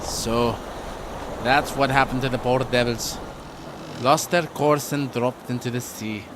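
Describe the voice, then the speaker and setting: An actor playing a grave, salty fishing boat captain in an old-time radio drama. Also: male voice, mid-range voice, steady tone, a little slow, background noise, lightly acted.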